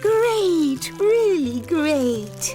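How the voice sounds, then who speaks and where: A woman's voice: mid-range voice, big pitch swings, measured pace, creaky, darkish tone, voice pushed up. A woman voicing a cheerful, bubbly young girl in a storybook, with music behind. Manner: bubbly, enthusiastic.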